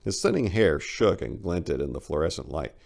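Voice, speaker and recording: A man with a very low voice and a heavy creak in it narrates a short story. The delivery is a dramatic first-person reading. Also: sing-song, moderate pace, darkish tone.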